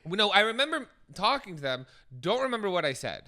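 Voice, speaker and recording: Bright, lively young man. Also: high voice, quick pace. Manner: animated, laughing storytelling. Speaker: podcast co-host. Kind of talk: casual chat.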